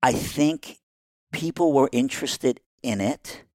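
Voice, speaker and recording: A man with a Southern accent, originally from Iran, telling his life story on a podcast as a guest pastor in a lively way, acting out the voices of others and laughing often. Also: medium pitch, slow pace, no room sound, clean studio sound.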